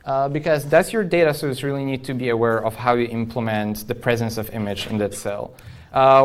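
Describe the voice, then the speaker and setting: Clear-voiced man giving a talk. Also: mid-range voice. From Poland. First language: Polish. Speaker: conference speaker, software engineer. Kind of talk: informal technical conference talk.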